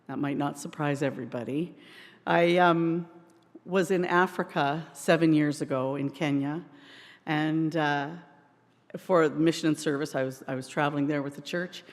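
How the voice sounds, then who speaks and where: A worship leader speaking to a congregation in an informal, expressive way during a church service. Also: mid-range voice. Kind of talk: church service address, prayer and personal anecdote. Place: indoors in a church. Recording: reverberant church hall.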